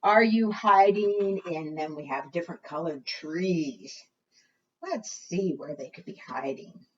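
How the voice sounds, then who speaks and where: A woman's voice: on the low side, slow, slight background noise. A woman librarian leading an interactive preschool storytime, talking to small children with coaxing questions and singsong refrains. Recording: muffled, distant microphone.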